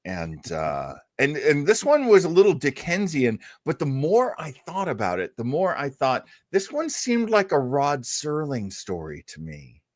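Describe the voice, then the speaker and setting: Male radio co-host, unhurried and very animated in pitch, casual, playful and halting, closely recorded and dry. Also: no room sound. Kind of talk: casual chat introducing old-time radio.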